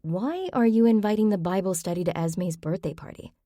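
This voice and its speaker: A woman's voice: mid-range voice, a little fast, mellow, no echo, studio quality, voice pushed up, natural voice. A woman voicing a practical, puzzled adult woman who asks reasonable questions in an audiobook.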